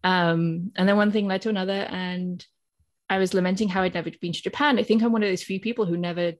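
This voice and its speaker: Low-voiced British woman, chatty. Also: fairly even intonation, quick pace. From Britain. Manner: chatty, self-deprecating, laughs often. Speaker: translator panelist. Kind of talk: casual panel talk. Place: home office lined with bookshelves. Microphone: headphones with a desk mic, video call.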